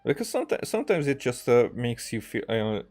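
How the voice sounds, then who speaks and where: A man giving casual, unscripted game commentary in a halting, rambling way, circling back over his words. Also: medium pitch, sing-song, a little mellow. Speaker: gaming streamer playing and commenting on a game.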